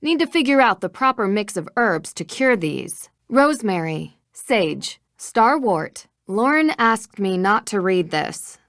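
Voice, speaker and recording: A woman's voice: medium pitch, big pitch swings, unhurried, hardly any pauses, a bit bright, no echo, studio quality. A woman playing a cheerful young female detective in a video game, eager and inquisitive. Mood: curious, focused.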